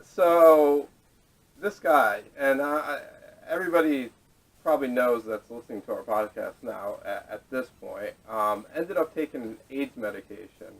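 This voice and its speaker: A man hosting a casual podcast discussion, speaking haltingly with restarts and casual swearing, picked up on a camera microphone. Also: medium pitch, gravelly. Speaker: podcast host introducing topics. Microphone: camera mic, away from speakers. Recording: distant camera microphone audio.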